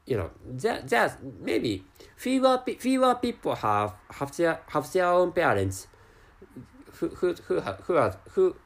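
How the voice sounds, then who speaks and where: A man with a Japanese accent, a native Japanese speaker, talking alone on his podcast in slow, halting English full of restarts and repeated words. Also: fairly high pitch, sing-song, gravelly. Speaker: podcast host. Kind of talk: casual solo podcast monologue.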